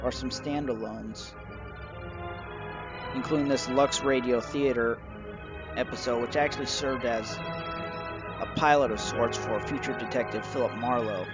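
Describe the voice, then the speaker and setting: A man presenting a collection review in a measured, semi-scripted narration, moving through it item by item. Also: medium pitch, steady tone. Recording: dull, lo-fi audio with music behind.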